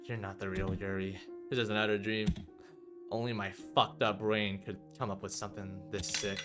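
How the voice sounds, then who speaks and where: A man's voice: on the low side, flat delivery, moderate pace, over music, lightly acted. A man playing an anguished, angry young protagonist in a visual novel, dramatic and strained. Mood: bitter, low. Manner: dramatic, strained outbursts and bitter muttering. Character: anguished, angry young game protagonist, defiant but frozen with dread.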